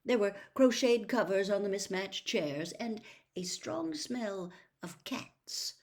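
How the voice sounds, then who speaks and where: A woman reading a novel aloud as an expressive storyteller, in audiobook-style narration. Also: medium pitch, takes it slowly. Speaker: storybook reader.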